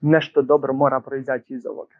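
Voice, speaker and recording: Flat-voiced man, muffled recording. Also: medium pitch, fast. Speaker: cruise ship crew member. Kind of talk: documentary interview.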